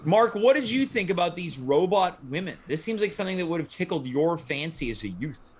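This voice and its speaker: Animated higher-voiced male host. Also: fairly quick, heavy background noise. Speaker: talk show host. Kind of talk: casual panel chat.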